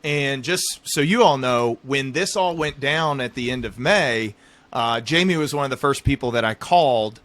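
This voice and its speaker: A man's voice: medium pitch, normal speed, a bit bright. A man in his thirties hosting a podcast in a casual, conversational style. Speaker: podcast host.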